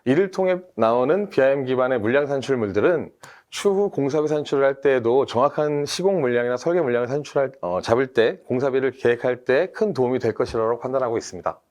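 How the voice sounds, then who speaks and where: A man's voice: mid-range voice, lively intonation, quick pace, a little mellow. A man, a team leader, explaining things methodically in a webinar discussion, with frequent fillers and restarts. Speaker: team leader, industry expert guest.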